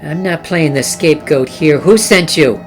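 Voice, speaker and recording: A man's voice: fairly high pitch, moderate pace, faint music behind, close to an everyday voice. A man playing a shifty, hostile crook in a noir radio play, talking in curt street-tough threats. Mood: threatening. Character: shifty, hostile male crook, an army lieutenant with a gun.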